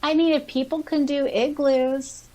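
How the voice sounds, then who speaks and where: A woman's voice: high voice, flat delivery, measured pace, dark tone. A woman co-hosting a podcast in a casual chat, reading out listener comments and reacting with giggly enthusiasm. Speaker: podcast co-host.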